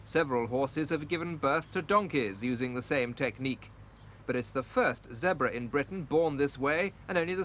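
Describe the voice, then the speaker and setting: A man narrating a scripted television news report in an even, informative way. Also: mid-range voice, slight background noise.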